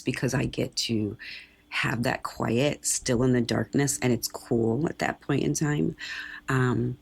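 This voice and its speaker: A woman co-hosting a podcast with a raspy morning voice, chatting easily and laughing between thoughts. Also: rumbling low, a little mellow. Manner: chatty, laughs easily, acts out quoted flowers. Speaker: podcast co-host. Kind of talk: casual chat.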